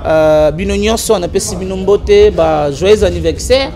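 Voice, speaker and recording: Man, Congolese accent, very high voice, argumentative commentator, faint background music. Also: normal speed. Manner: animated, argumentative, mixes French and Lingala. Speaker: political commentator. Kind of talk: political talk show chat.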